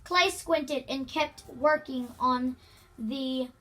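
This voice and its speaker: A woman's voice: high voice, slow pace, fairly bright tone. A female amateur reader reads a children's novel aloud with casual asides, stumbling over words and repeating them. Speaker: amateur reader hosting a read-aloud video.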